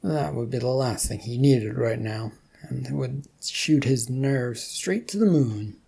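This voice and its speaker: Fiction narrator, mellow and raspy, reading a scripted story. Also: mid-range voice, unhurried.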